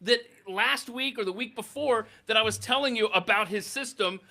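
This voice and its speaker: A man's voice: sits high, moderate pace, creaky, sharp tone. A man hosting a podcast, reminiscing casually in an effusive, emphatic way and repeating himself to stress a point. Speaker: podcast host.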